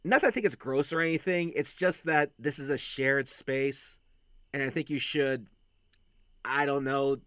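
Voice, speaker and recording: A man's voice: mid-range voice, unhurried, a bit bright, clean tone, dry recording, studio quality, natural voice. A man playing an awkward, chatty young werewolf in a casual audio roleplay, rambling and blurting like a cheeky little brother.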